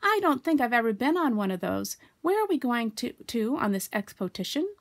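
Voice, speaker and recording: A woman voicing an eager, innocent, slow-witted male toy bear from a storybook, who muddles long words and asks naive questions. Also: on the high side, sing-song, pitched above the performer's own voice. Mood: eager, curious.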